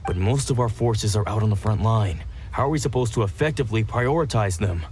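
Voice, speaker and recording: An actor playing a skeptical, matter-of-fact army patrol soldier in a video game. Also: male voice, on the low side, a little fast, darkish tone, a little hiss or hum, close to an everyday voice.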